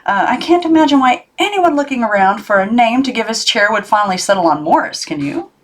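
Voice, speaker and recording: A woman's voice: a little high, animated, a little fast, pitched above the performer's own voice, lightly acted. Lady doing a quirky old uncle from a kids book, teasing and polite, swoops up when he exclaims. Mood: playful, teasing. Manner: playful, exclamatory, formally polite. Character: whimsical, teasing, enthusiastic eccentric older great-uncle. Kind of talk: children's storybook character reading.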